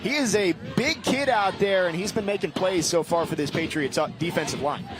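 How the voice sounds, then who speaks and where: A man's voice: high-pitched, brisk, over music. A man calling live sports play-by-play in clipped, vivid phrases. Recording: noisy live game broadcast.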